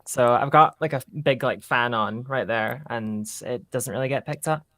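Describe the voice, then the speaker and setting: A woman's voice: extremely low pitch, moderate pace, fairly bright tone. A woman from southern Scotland with an American-influenced Scottish accent, chatting casually and tentatively as a guest. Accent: mixed American-influenced Scottish. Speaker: podcast guest. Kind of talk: casual chat.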